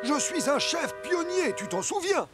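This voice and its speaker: A pompous, flustered scout leader in a cartoon. He talks slowly in a high voice, boastful but hapless, over clearly heard music. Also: very animated, exaggerated voice. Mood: reluctant, boastful. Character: self-important, hapless adult male scout leader. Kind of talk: children's cartoon dub acting.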